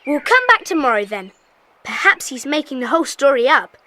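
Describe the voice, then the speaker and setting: An eager, bossy schoolchild in a children's mystery play. The voice is very high, bright and animated, brisk and insistent, with some tape hiss. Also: female voice, exaggerated voice. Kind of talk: children's audio drama acting. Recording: hissy old cassette tape.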